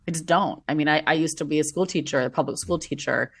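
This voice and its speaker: A woman with a low, grounded voice speaks in a casual podcast conversation. She is blunt and emphatic, pressing each point firmly. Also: fast talker, vocal fry, slightly rough. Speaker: podcast guest, former teacher.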